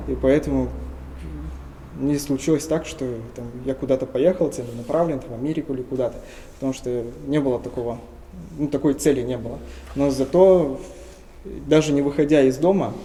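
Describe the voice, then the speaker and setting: A young man from Tuva in Russia, answering interview questions earnestly and often pausing with hesitation sounds. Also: mid-range voice, warm tone, a little hiss or hum, poor audio. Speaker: interview guest.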